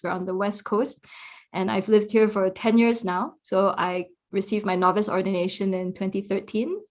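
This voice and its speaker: A Buddhist nun from Singapore, probably in her thirties, talking reflectively and laughing at herself on a podcast. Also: medium pitch. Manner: reflective, self-deprecating, laughs often. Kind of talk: casual podcast conversation.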